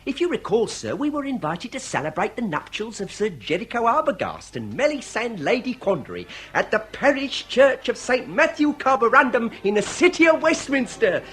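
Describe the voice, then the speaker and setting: An actor playing an earnest, deferential young police assistant in a Victorian comedy radio drama, speaking formally with a British accent. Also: male voice, very high voice, very animated, normal speed, hardly any pauses, a bit bright, exaggerated voice. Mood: patient, deferential. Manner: formal, respectful, dutiful.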